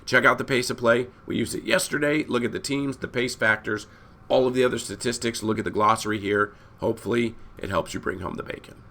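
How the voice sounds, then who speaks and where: A hoarse man who is losing his voice, hosting a casual fantasy basketball breakdown that rambles and corrects itself as he talks to viewers. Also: medium pitch, steady tone, brisk, pushed and bright. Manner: rambling, self-correcting, addresses viewers as guys. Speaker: fantasy sports analyst host. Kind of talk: casual screen-share breakdown.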